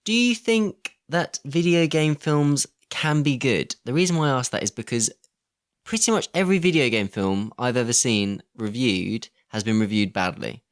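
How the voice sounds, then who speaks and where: A man's voice: mid-range voice, expressive, normal speed. A man co-hosting a film podcast, leading a casual discussion in a conversational, questioning way. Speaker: podcast co-host.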